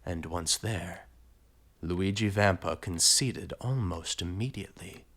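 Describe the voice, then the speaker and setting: A man acting a suspicious, insistent young French gentleman in a period audio drama. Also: low-pitched, slow pace, full character voice. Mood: pressing suspicion. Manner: insistent, questioning, emphatic.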